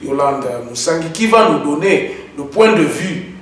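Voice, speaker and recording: A man hosting a political interview in a halting, wordy conversational way. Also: fairly high pitch, animated. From Democratic Republic of the Congo. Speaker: host. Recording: echoey, distant mic, faint noise.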